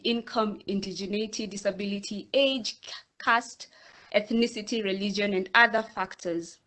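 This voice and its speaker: A woman from Kenya moderating an online panel over a video call, introducing speakers in a friendly, measured way with some fillers. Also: medium pitch.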